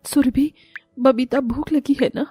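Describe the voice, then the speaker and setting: A woman's voice: sits high, fairly even intonation, measured pace, warm tone, husky, dry recording, soft background music, exaggerated voice. A woman voicing a poor, long-suffering, devoted mother in a cartoon story, sorrowful and plaintive. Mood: tender, resigned.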